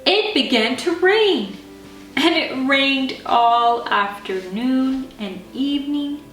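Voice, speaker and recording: A woman's voice: sits high, animated, slow, a bit bright. A woman reading a children's bedtime story as a scripted narration. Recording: roomy, distant mic, faint music.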